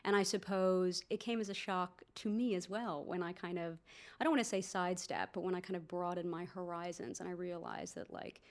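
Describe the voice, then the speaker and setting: Chatty American woman with a gravelly edge. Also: lowish voice, brisk. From United States, New Jersey. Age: 30s. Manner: chatty, animated, with frequent fillers and asides. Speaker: guest, doctor and founder. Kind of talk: casual interview conversation.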